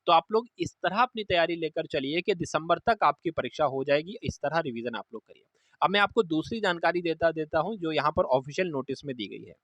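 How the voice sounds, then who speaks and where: A man's voice: a little high, a bit bright, no echo, dull audio. A man giving an informal, instructive exam update to students, repeating key points for emphasis. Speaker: exam coaching presenter.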